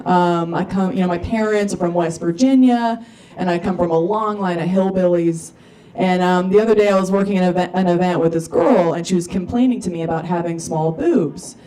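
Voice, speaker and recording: A woman's voice: lowish voice, fairly quick, warm tone. Young woman doing dry stand-up. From Oregon, USA. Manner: dry, self-deprecating, with many ums and uhs. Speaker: stand-up comedian. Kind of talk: stand-up comedy routine. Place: comedy club stage. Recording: distant live mic with audience laughter.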